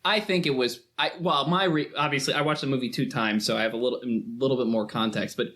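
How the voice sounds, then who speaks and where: A man talks casually about film, in a mid-pitched voice. He explains things in a chatty way, with colloquial asides and halting turns of phrase. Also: fairly even intonation, a bit bright. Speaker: podcast guest or co-host.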